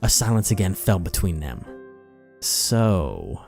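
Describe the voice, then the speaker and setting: A man's voice: low voice, normal speed, darkish tone. A man reading a story aloud in a theatrical narrating style with music behind him. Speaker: channel host reading fan fiction aloud. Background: background music.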